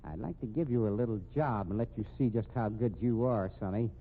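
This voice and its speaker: An actor with an American accent playing a gruff, irritable middle-aged police captain who grumbles bluntly and sardonically in a 1950s radio drama. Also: male voice, fairly low pitch, a little fast, narrow, tinny audio, natural voice. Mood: challenging. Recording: thin, noisy 1950s radio recording.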